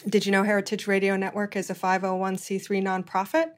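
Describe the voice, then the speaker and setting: A woman's voice: mid-range voice, monotone, fast talker. A middle-aged woman from Hawaii hosting a food-industry podcast, speaking with many fillers and restarts. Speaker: podcast host. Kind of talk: conversational interview and read ad.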